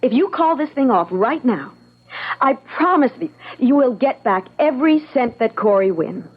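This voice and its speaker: An actress playing a defiant, sharp-tongued young woman, firm and indignant, in old-time radio drama style. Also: high voice, animated, normal speed, husky. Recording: dull, muffled old radio sound.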